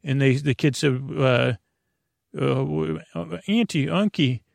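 A man hosting a bedtime podcast in a rambling, halting monologue, over-explaining and trailing off with a creaky, gravelly voice. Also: fairly high pitch, no room sound, studio quality. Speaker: podcast host.

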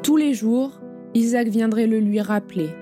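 A woman narrating an audio drama in a measured, grave storytelling manner. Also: a little high, over music.

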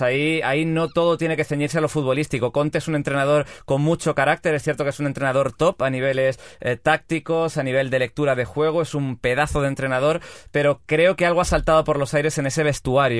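A man's voice: fairly high pitch, fairly even intonation, fairly quick, clean tone, no room sound. Male pundit, confident analytic talk, dry studio sound. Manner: fluent, opinionated analysis. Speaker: football pundit. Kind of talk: sports radio analysis.

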